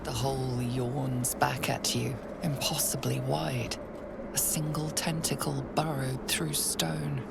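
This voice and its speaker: A woman playing the solemn narrator of a dark fantasy game, reading scripted lines in a hushed, gravelly voice. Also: very deep voice, little pitch movement, slow, over loud music, full character voice. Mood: grave, ominous.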